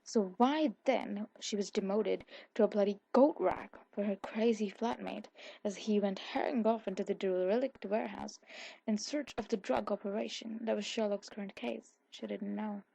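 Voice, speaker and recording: Husky lady reading a story asmr style, soft dark tone, bit gravelly. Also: medium pitch, fairly even intonation, normal speed, no echo, rough recording. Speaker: fan fiction audiobook narrator. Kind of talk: scripted ASMR story narration.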